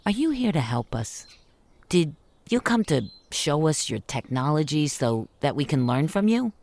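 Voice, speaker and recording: A bold, suspicious woman acted by a woman in a sci-fi radio drama. Her voice is low yet moves around in an animated way. She is blunt and challenging, the impulsive one who acts before thinking. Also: unhurried, heavily acted. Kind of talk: radio play acting.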